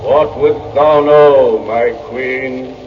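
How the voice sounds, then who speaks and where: An actor playing a solemn magic mirror spirit in a 1930s radio drama, intoning in archaic speech. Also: male voice, mid-range voice, steady tone, very slow, music in the background. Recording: tinny vintage radio, distant, long hall reverb.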